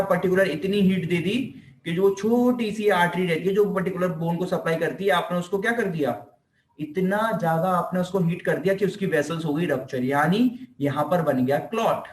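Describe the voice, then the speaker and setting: An Indian-accented man with a high voice teaches in an informal, explanatory way. He stresses points by repeating them and throws out rhetorical questions. The room echoes around him and the microphone sounds some way off. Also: far from the mic. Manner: emphatic, repeats key phrases, asks rhetorical questions; mixes in English technical terms. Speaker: physiotherapy lecturer.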